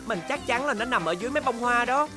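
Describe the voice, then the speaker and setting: A woman plays a young polar bear, the patient friend in a children's cartoon. The voice sits a little high and sounds encouraging and reassuring. There is clear music in the background. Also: animated, quick pace, natural voice. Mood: pondering.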